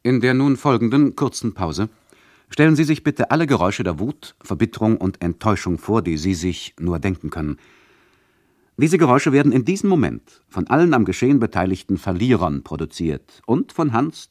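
An actor playing an ironic, mock-polite and condescending radio play narrator who addresses the listeners. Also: male voice, lowish voice, sing-song, moderate pace, a little mellow, no room sound, clean studio sound. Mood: mock solemn.

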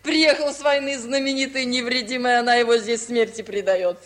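An actress playing a spry, doting old grandmother, a gossipy matchmaker with an animated mock-scolding manner, in radio comedy style. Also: a little high, fairly quick, natural voice. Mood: gossipy, admiring. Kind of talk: 1950s radio musical comedy acting.

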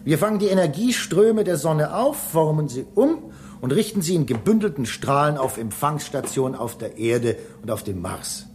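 A man's voice: a little high, expressive, moderate pace, a little mellow, a little hiss or hum, close to an everyday voice. A man playing a human space-station engineer in a radio drama, explaining things emphatically and with some impatience. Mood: lecturing. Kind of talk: German radio drama acting.

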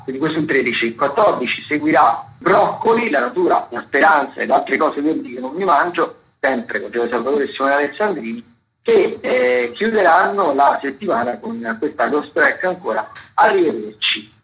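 A man hosting radio, presenting arts listings in a halting, repetitive way full of fillers. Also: medium pitch. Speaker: radio host. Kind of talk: radio arts listings commentary, loosely read. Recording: dull lo-fi radio broadcast.

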